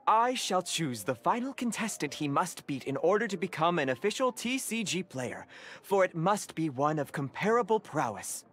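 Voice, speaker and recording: Casting: earnest, wordy tournament official for a game, very animated intonation, genial and formal. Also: male voice, sits high, moderate pace, noisy background. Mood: cheerful, formal. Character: genial, enthusiastic, slightly flustered card-game official. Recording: background music.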